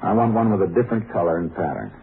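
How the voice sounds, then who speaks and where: An actor playing a calm, skeptical Texas Ranger in a 1950s radio drama, speaking in a dry, measured, deadpan way. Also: male voice, low-pitched, gravelly, a little hiss or hum, full character voice. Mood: firm, skeptical. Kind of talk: 1950s radio drama acting, first-person narration and dialogue. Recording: muffled vintage radio recording with music.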